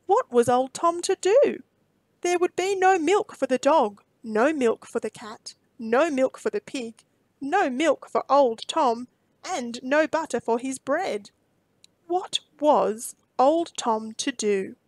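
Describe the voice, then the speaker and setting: Slow, high-voiced woman storyteller. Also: animated, darkish tone, no room sound. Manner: deliberate phrasing, dramatic emphasis. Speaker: children's storybook reader. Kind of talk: expressive read-aloud storytelling.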